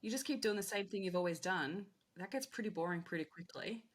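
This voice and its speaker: A husky-voiced woman speaks as a podcast guest, talking with lively energy about getting past self-doubt. She slips into little acted-out inner voices as she coaches. Her tone is slightly breathy and rough. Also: medium pitch, a little fast. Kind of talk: casual interview chat.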